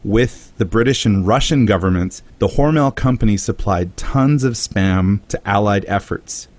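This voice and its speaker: A man's voice: on the low side, darkish tone, no echo. A man hosting a casual comedy podcast, telling a story with jokes and silly put-on voices for quotes. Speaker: podcast host.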